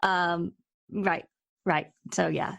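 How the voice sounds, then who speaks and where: A woman's voice: fairly low pitch, fairly even intonation, drawn-out words, creaky, fairly bright tone. A woman hosting an interview, talking in a casual, conversational way. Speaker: interview host.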